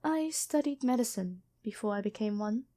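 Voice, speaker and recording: A woman playing a kind angel medic and former nun in an ASMR roleplay, speaking gently and hesitantly to the listener. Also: a little high, lively intonation, moderate pace, close to an everyday voice. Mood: reflective. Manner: gentle, soft-spoken, apologetic, hesitant.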